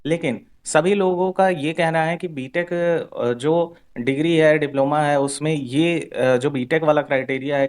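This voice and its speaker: A man's voice: a little high, clear, resonant tone. A man presenting an informal explanatory update for exam candidates, repeating himself and mixing Hindi with English terms.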